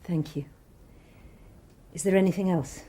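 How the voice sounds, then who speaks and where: An actress playing a proud, weary nun in charge of a mission, with a clipped, restrained manner, in a radio drama. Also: female voice, fairly low pitch, fairly even intonation, unhurried, warm tone.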